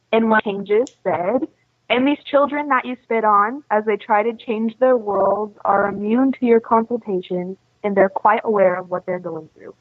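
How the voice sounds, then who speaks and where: A woman's voice: on the high side, dry recording. A young woman with an American accent chatting informally as an interview guest on a voice call. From USA. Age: young adult. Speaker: interview guest, exchange student. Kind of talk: casual chat. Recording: dull lo-fi internet call audio.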